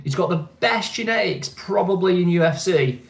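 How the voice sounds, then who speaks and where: Mancunian male streamer, easygoing and chatty, voice a touch high, roomy echo. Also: lively intonation, moderate pace, vocal fry. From England. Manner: chatty, laid-back, keeps asking "you know what I mean". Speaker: vlogger. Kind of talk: casual live-stream chat.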